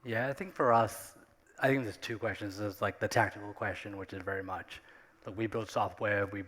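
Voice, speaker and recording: A man talking conversationally as a conference panelist, in a halting way that often restarts phrases. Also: lowish voice, steady tone, fairly bright tone. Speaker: tech company executive on a panel. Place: on stage at a conference. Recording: echoey hall with long reverb.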